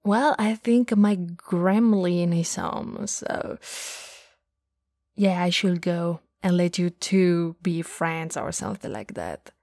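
A woman performs a playful, teasing goth older sister in a romantic ASMR roleplay. Her voice is dark and husky with breath, often slipping toward a whisper. She sits very close to the mic in a dry, intimate recording. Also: lowish voice, animated, slow, no room sound, full character voice. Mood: playful, flirty. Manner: soft, breathy, drifting into whispers. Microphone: close, dry studio mic.

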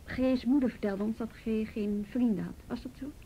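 A woman's voice: highs cut off, close to an everyday voice. A woman playing a patient, persistent youth police officer in a radio drama, coaxing. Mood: gently probing. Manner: coaxing, probing, deliberate. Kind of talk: Dutch radio drama acting. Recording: old radio recording, faint rumbly noise.

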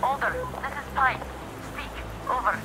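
An earnest little boy in a dramatic video game. The voice is very high and animated, childlike yet trying to be formal, carried over a noisy radio. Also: a little slow. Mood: dutiful. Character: earnest young boy, colonel's dutiful son. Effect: radio transmission.

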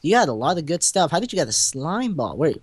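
A man's voice: on the high side, very animated, fast, dry recording. A young man co-hosting a gaming video, bantering casually in a crude, teasing, rambling way with some stammering. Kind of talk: casual joking gameplay banter.

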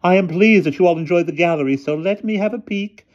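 A man voicing a prim, excitable headmistress of a girls' school, fussy and genteel, as in a storybook reading. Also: high-pitched, quick pace, dull audio, pitched above the performer's own voice, natural voice. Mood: delighted, surprised.

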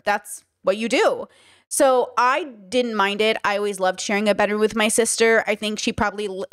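Young female commentator, conversational and musing, mid-pitched, close dry studio mic. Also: no room sound. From Southern California. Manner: chatty, thinks aloud, gestures a lot. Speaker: commentary YouTuber. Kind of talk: casual reaction commentary. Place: small bedroom studio. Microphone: studio mic on boom arm.

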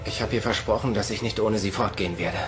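Casting: man as decent escaping captive, understated and sincere, echoey sound, loud music underneath. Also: lowish voice, fairly even intonation, fast, natural voice. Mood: uncertain. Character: decent, determined male captive trying to escape. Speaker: actor. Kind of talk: German sci-fi audio drama acting.

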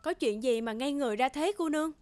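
A woman's voice: high voice, quick pace, lightly acted. A woman voicing a chatty, teasing young office colleague in a cartoon. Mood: surprised. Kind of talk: cartoon dubbing. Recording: music under the voice.

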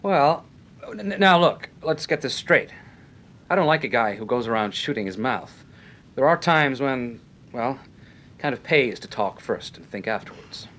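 An actor playing a brash, tough-talking nightclub owner in an old radio detective serial, blunt and slangy. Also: male voice, expressive, a little slow, fairly bright tone. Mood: uneasy, hedging. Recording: vintage radio recording with rumbling background noise.

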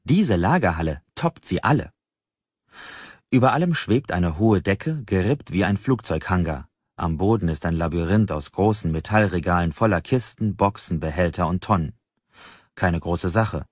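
Deep-voiced male audiobook narrator. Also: lively intonation, normal speed, a little mellow, breathy edge, dry recording, highs cut off. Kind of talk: scripted first-person novel narration.

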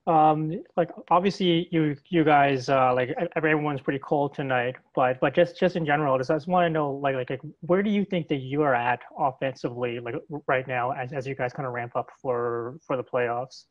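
A man's voice: mid-range voice, fairly quick, hardly any pauses, lo-fi sound. A man asking a question as a reporter, stumbling with repeated likes.

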